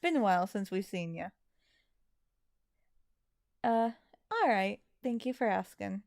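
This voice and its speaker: A woman's voice: medium pitch, animated, measured pace, vocal fry, darkish tone, dry recording, studio quality. A tired woman chatting casually as she hosts a live stream, using mild, folksy exclamations. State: a little tired. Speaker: live stream host and amateur voice actor.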